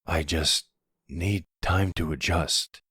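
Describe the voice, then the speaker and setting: A man playing a composed, protective mafia boss boyfriend in an audio roleplay, measured and earnest with a deep, husky voice. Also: steady tone, pushed and bright, no echo, clean studio sound, exaggerated voice. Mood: tender. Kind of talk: ASMR audio roleplay drama.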